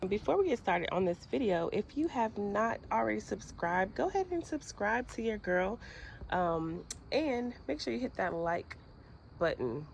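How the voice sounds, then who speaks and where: A woman with a stuffy cold voice giving casual how-to tips in a gardening vlog, chatty and friendly with little laughs and fillers. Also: medium pitch. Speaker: gardening vlogger.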